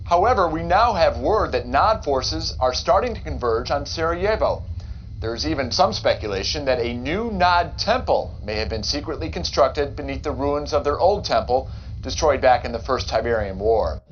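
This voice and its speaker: A man's voice: medium pitch, lively intonation, moderate pace, natural voice. An actor playing a polished news anchor, a man reading a serious, scripted war report. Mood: measured, serious. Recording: dull audio, faint noise.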